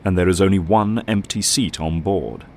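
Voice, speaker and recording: A man's voice: low-pitched, moderate pace, noisy background. A man narrating an audio drama in a dramatic, scripted storytelling style.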